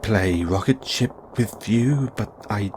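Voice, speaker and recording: A man's voice: fairly low pitch, takes it slowly, noisy background. A man playing a kindly middle-aged father in an audio roleplay, nervous, awkward and stammering. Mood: nervous, concerned.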